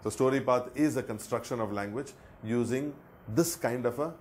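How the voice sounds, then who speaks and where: A man's voice: medium pitch, moderate pace, darkish tone, a little hiss or hum. A man explaining a learning method in an earnest way, repeating words for emphasis. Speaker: education presenter. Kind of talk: explanatory talk.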